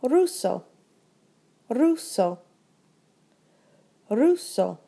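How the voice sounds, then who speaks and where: A woman leads a repeat-after-me phrase drill at a very slow, deliberate pace. Her pitch swings widely and musically from phrase to phrase. She says each line three times with careful pauses. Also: sing-song, vocal fry, warm tone. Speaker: language teacher.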